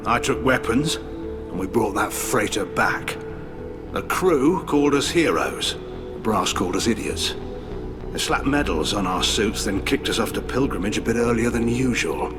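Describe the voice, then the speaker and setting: An actor playing a gruff, blunt, middle-aged military admiral from an alien fleet in a video game. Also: male voice, medium pitch, lightly acted. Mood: reminiscing. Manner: blunt, plain-spoken, sardonic. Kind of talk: video game dialogue acting.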